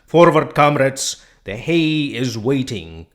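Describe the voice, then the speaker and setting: A man voicing a domineering boar leader in a storybook reading, with loud, commanding oratory. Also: mid-range voice, big pitch swings, slow pace, pitched above the performer's own voice.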